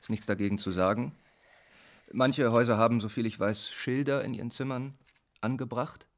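An actor playing an earnest militant squatter commander in a radio play, speaking in a deliberate, halting, emphatic way. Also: male voice, mid-range voice, close to an everyday voice. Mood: earnest, measured. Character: earnest male militant squatter commander proposing defence plans. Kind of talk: German radio play acting.

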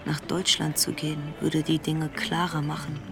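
A man playing an earnest, searching character in a stylised radio play monologue, speaking in deliberate statements over music. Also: a little high, completely flat delivery. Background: clear music.

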